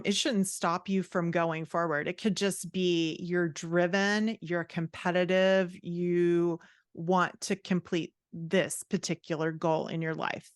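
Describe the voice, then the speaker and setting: Woman, lowish register, dry recording. Podcast guest coach, conversational, reflective, encouraging advice. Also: dry recording. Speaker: guest writing coach and author.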